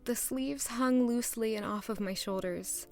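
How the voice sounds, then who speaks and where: A woman's voice: on the high side, normal speed, soft background music, lightly acted. A woman playing a shy, nervous but hopeful young commoner who narrates her own story in an audio drama. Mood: wondering, hopeful.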